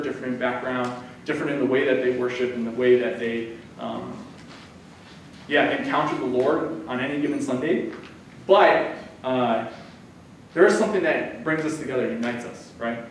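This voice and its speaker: A man's voice: medium pitch, a little hiss or hum. A man in his thirties gives a conversational sermon as a guest preacher, using his hands as he talks. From United States. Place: church sanctuary, indoors. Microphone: far camera. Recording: echoey church hall from far off.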